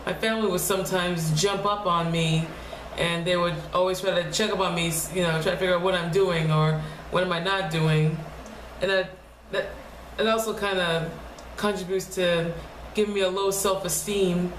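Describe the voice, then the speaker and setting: Soft-spoken young woman with a deep voice. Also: steady tone. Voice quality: low, deep voice. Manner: halting, restarts often, soft and quiet. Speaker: vlogger. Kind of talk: casual personal vlog. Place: home room. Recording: echoey room with faint noise.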